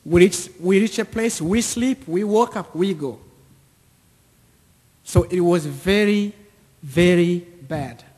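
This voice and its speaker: A man in his thirties with an East African accent, giving a personal testimony to an audience in deliberate, short repeated phrases. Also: high voice, darkish tone, husky. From Central or East Africa, near Lake Tanganyika. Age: 30s. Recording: echoey hall with long reverb.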